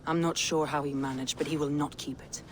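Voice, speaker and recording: A woman's voice: sits low, steady tone, a little fast, slight background noise, natural voice. A woman voicing a proud, resolute Viking lord and war leader in a video game, commanding, guarded and earnest. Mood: bitter, determined. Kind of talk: video game dramatic acting. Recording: music behind the voice.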